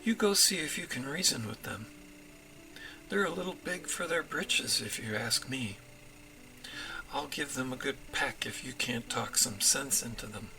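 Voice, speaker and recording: A man's voice: mid-range voice, unhurried, noisy background, close to an everyday voice. A man voicing a feisty, sassy female robin in a children's storybook, with a wry, combative manner. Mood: indignant.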